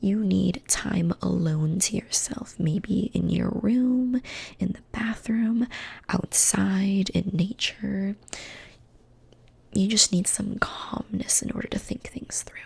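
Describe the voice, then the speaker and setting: A young woman whispering softly in an ASMR personality-quiz roleplay, slow and soothing, often repeating phrases. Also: mid-range voice. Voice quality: breathy. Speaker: ASMR host.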